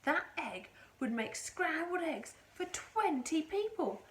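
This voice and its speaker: A woman's voice: sits high, big pitch swings, slow, slight background noise, voice pushed up, heavily acted. A woman voicing a flustered, stammering mother in a storybook. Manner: stammering, astonished. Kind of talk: storybook read-aloud.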